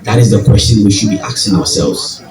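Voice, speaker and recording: A man with a deep voice and a Ghanaian accent gives a public talk, deliberately, in short phrases and questions to the audience. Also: a crowd in the background. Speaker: guest speaker, farming group leader. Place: event venue with audience. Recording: distant, echoey hall with audience noise.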